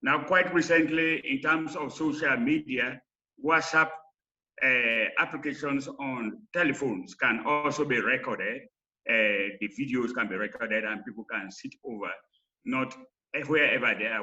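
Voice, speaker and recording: A man presenting research in a lecture, with halting, emphatic phrasing. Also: mid-range voice, sharp tone. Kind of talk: academic lecture. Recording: slightly dull online call audio.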